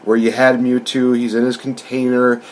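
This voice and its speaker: A man's voice: medium pitch, completely flat delivery, moderate pace, slight background noise. Chatty man hosting a casual podcast. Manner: informal, chatty, rambling. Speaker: podcast host. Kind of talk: casual film review podcast.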